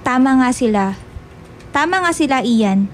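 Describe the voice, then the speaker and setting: A woman's voice: sits high, expressive, a little slow, slight background noise. A woman playing an earnest, scolding young female student in a radio drama, speaking with a Filipino accent and mixing in English. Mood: annoyed, scolding. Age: young adult. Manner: indignant, mixes Tagalog and English. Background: faint music.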